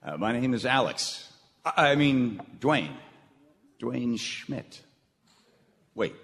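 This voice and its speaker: A man's voice: mid-range voice, very animated, slow pace, vocal fry, a bit bright, full character voice. A man playing an awkward, self-important hometown returnee in a live comic radio play, stammering and sheepish. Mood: sheepish bragging. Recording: reverberant hall, audible background noise.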